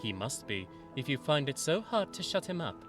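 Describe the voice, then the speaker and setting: A man's voice: mid-range voice, very animated, moderate pace, music in the background, pitched above the performer's own voice, lightly acted. A man doing a curt, skeptical young medical student. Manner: curt, dismissive.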